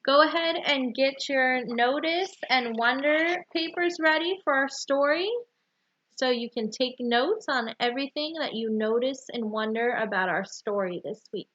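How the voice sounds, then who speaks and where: Lady teacher talking to kids for story time, high voice, slightly dull home recording. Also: a little slow, a bit bright. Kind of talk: addressing young students before a read-aloud.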